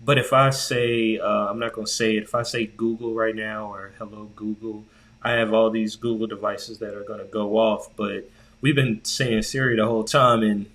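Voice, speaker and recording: Male podcast co-host, lower register, loose conversational delivery that circles back on phrases as he thinks. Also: flat delivery, moderate pace, a bit bright. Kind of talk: casual tech discussion.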